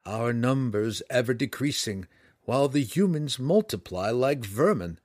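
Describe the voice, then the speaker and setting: A man voicing a cunning male dragon trapped in a dark elf's body, who pleads and argues in a dramatic way in a storybook reading. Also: mid-range voice, animated, unhurried, no echo, clean studio sound. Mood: pleading.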